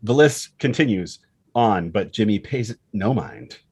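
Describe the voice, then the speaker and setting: Low-voiced man narrating a screenplay. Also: expressive, a little slow, a little mellow, breathy edge, no echo. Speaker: narrator reading stage directions. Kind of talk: screenplay narration at a table read.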